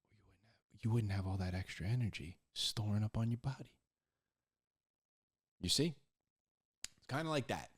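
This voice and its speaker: A man's voice: lowish voice, animated, moderate pace, long pauses, husky. A man giving casual, conversational Bible teaching in slangy, informal speech, repeating words for emphasis and acting out little dialogues. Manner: acts out imagined back-and-forth dialogues.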